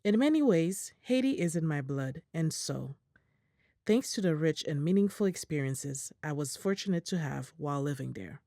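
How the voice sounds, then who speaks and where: A woman reading a prepared statement aloud in English in a measured, formal way, as an interpreter on a video call. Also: low-pitched, expressive, warm tone, no echo, clean studio sound.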